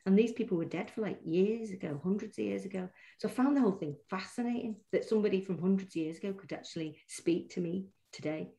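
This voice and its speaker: A woman's voice: medium pitch, fast talker, vocal fry, hardly any pauses, mellow, poor audio. A middle-aged woman with a Mancunian accent, a poet chatting in an interview with many restarts and fillers. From Manchester, England. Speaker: poet and guest. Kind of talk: casual interview chat.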